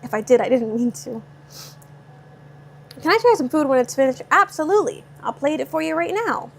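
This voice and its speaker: Bubbly high-voiced young Californian woman. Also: lively intonation, fairly quick, creaky, slight background noise. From Southern California. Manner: bubbly and chatty, reading out viewer comments. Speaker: vlogger cooking live and answering chat. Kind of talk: casual live-stream chat. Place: home kitchen.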